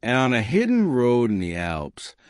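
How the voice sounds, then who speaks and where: A man narrating a scripted supernatural thriller in a measured, dramatic, suspenseful way. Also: medium pitch, huge pitch swings, clean tone, no echo, studio quality. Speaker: storyteller narrator.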